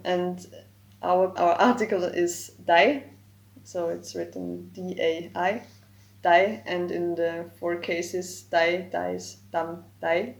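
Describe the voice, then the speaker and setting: A woman's voice: on the low side, fairly even intonation, takes it slowly, roomy sound. A woman whose first language is German, a podcast guest carefully explaining word forms in English. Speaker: guest, language project co-creator. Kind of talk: explaining grammar in a podcast interview.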